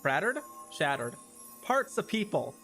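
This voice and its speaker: A man's voice: a little high, very animated, a little slow, bright, forceful tone. A man giving livestream reaction commentary on a game, talking to himself and guessing aloud. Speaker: game streamer. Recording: music behind the voice.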